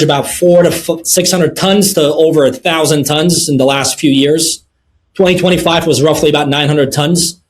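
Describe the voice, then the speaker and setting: Middle-aged guy from lebanon, slightly high voice, talks steady with lots of uhs. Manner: measured, many "uh" fillers. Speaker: guest, precious metals dealer. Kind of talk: interview answers.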